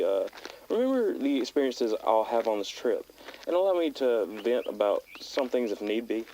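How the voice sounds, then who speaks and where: A man playing a thoughtful teenage hiker who speaks haltingly and earnestly into a personal audio diary. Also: medium pitch, a little mellow, natural voice, rough recording. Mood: earnest, tentative. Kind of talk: found-footage audio log monologue. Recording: outdoor tape log with nature noise.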